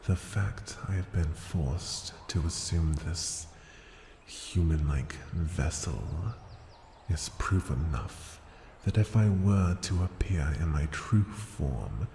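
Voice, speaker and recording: A man voicing a haughty, teasing ancient dragon in human form for an ASMR fantasy roleplay, gravelly and breathy, with condescending, seductive murmurs. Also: deep voice, dark tone. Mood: menacing, mocking. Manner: condescending, taunting, drawn-out phrases dipping into breathy murmurs. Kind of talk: ASMR fantasy roleplay, slow theatrical delivery. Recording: echoing reverberant hall, background ambient noise.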